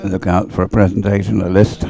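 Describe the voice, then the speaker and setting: A man's voice: deep voice, little pitch movement, brisk, creaky, warm tone. A man giving unscripted live commentary at a sporting event, rambling and hesitant with a dry grumble. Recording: live event feed with background music. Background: music playing over event sound system.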